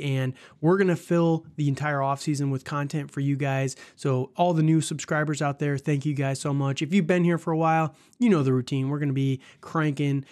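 A man's voice: fairly high pitch, darkish tone. A man with a cold, sounding stuffed up, hosting a casual sports podcast in a rambling, chatty way and laughing often. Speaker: podcast host.

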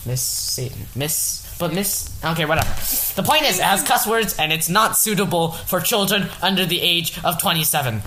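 A woman's voice: lowish voice, expressive, normal speed, roomy sound. A young woman co-hosting a casual podcast, chatting in a playful, theatrical, joking way. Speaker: podcast co-host. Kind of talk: casual group podcast chat. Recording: noisy amateur podcast recording.

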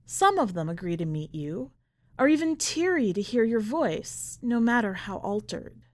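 A woman reading a literary short story aloud in a measured, deliberate way. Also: mid-range voice, very animated. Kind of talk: scripted literary narration.

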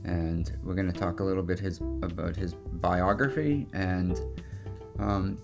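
A man with a low, gravelly voice hosts a casual interview show. He talks conversationally and haltingly, feeling his way through phrases. Guitar music plays behind him. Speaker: podcast host interviewing his father.

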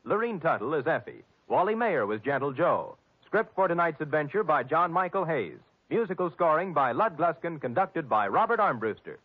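A narrow, tinny old broadcast of a male network announcer. His voice is fairly high and highly inflected, reading formal promotional copy in a polished 1950s manner. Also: very animated, moderate pace, few pauses. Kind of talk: 1950s scripted radio announcing. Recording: tinny, band-limited 1950s radio recording.

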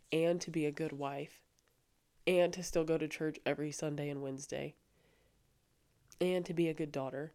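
A young adult woman with a low voice talking earnestly and casually on her faith podcast, with frequent fillers. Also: mellow. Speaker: podcast host. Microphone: studio microphone.

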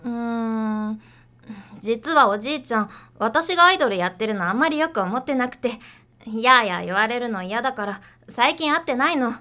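A woman plays a young underground idol, perky and pushy. The voice is high and bright. The delivery is girlish and breezily familiar, in radio drama style. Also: expressive, normal speed. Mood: confiding.

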